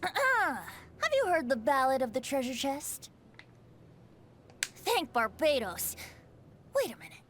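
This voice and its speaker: A woman's voice: sits high, very animated, slow pace, pauses often, a bit bright, slight background noise, exaggerated voice. A woman voicing a cheeky, merry young male bard in a video game, lilting and theatrical.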